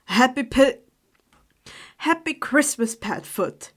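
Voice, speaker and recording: A woman's voice: high-pitched, expressive, slow pace, fairly bright tone, full character voice. Woman playing an earnest, kind teenage boy wizard. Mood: warm, sheepish.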